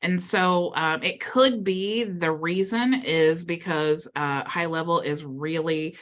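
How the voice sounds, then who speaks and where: A woman's voice: fairly low pitch, slow pace. A woman hosting a live Q&A video call, chatty and upbeat with lots of filler words. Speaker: mastermind call host.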